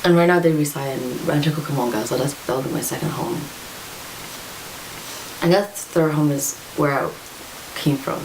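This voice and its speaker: A young woman from Hong Kong, a student answering interview questions in a measured way, setting out her points one by one. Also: low voice, background noise. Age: young adult. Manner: measured, lays out points in order.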